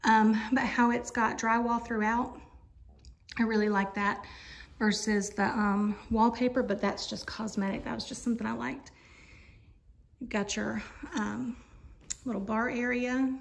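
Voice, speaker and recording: Woman, slightly high voice, casual rambling home-tour vlogger on a handheld phone. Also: fairly even intonation, a little slow, vocal fry. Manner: chatty, rambling, many fillers. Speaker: vlogger touring a mobile home. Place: inside an empty model home. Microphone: handheld phone camera.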